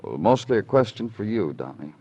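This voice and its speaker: An actor playing a calm, probing, hard-boiled police detective in clipped, deadpan 1950s radio drama style. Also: male voice, lowish voice, fairly quick, husky, full character voice. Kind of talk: 1950s radio crime drama acting and narration. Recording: dull, noisy old radio recording.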